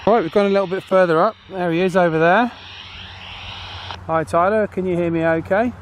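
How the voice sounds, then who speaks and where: A man with a fairly high voice gives casual outdoor commentary as host. He talks in a chatty, halting way, often asking for confirmation. There is faint noise behind him. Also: fairly even intonation. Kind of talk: casual outdoor video commentary and walkie-talkie testing. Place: outdoors on a path.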